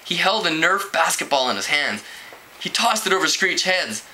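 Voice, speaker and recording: Bright, forceful man reading aloud with lively pitch. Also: normal speed. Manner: expressive, acts out dialogue with pitch jumps. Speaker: amateur book reader. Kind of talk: reading a teen novel aloud. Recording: clearly noisy home recording.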